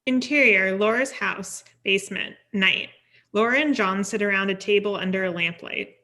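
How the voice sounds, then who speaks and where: A woman's voice: medium pitch, normal speed, sharp tone. A woman reading stage directions as scripted narration, neutral and matter-of-fact. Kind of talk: scripted narration at a table read.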